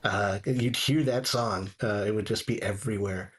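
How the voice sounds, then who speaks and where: A man chatting casually on a talk show panel, reflective and halting as he works out his thoughts. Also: lowish voice, steady tone, husky. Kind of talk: casual chat.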